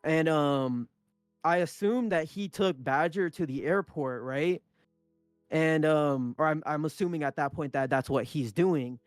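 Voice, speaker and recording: Young male podcast co-host, Punjabi first language, loose and sweary storyteller, slightly higher voice, dry close mic. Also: measured pace, no room sound, faint music behind. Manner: rambling, many restarts and swearing. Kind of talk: casual storytelling chat.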